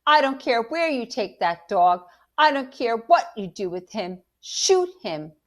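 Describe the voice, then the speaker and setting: A woman storyteller voicing a furious, frantic mother, shrill and commanding. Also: very animated, measured pace.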